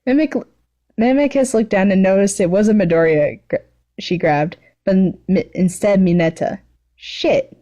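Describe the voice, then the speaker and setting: A woman's voice: mid-range voice, moderate pace, mellow. A woman giving an amateur, self-deprecating story reading with casual chat, stumbling over words now and then. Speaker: fan fiction writer and reader, channel host.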